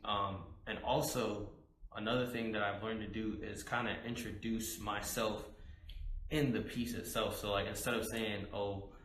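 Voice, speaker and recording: Young male poet guest, a little hoarse, low voice, loose chatty delivery, echoey room with faint hiss. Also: normal speed. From United States. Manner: relaxed, rambling with many fillers. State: slightly hoarse. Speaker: spoken word poet guest. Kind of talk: casual interview talk.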